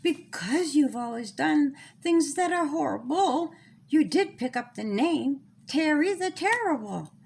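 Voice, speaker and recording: A woman's voice: sits high, animated, a little slow, gravelly, darkish tone, full character voice. A woman voicing a small, earnest storybook child who reasons plainly with others. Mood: kind, forgiving.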